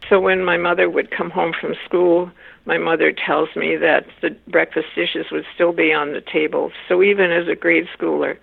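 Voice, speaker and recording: An older woman whose first language is Swiss German, speaking as an interview guest in a measured, thoughtful way and pausing to search for words. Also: fairly low pitch, level tone, creaky, breathy edge, no room sound. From Wisconsin, USA. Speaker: interview guest, author and family therapist. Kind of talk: reflective interview answers. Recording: tinny phone-like line.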